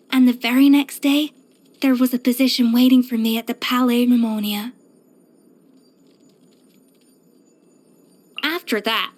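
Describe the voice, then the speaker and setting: An actress voicing a proud, exacting young pastry chef in a video game, poised, self-assured and precise in manner. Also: female voice, high-pitched, natural voice. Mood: earnest, admiring. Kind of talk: scripted video game character voice lines.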